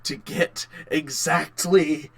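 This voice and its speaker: Male co-host, somewhat high pitch, very unhurried delivery, informal chat with emphatic repetition. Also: fairly even intonation, creaky, fairly bright tone. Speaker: podcast co-host. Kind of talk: casual chat.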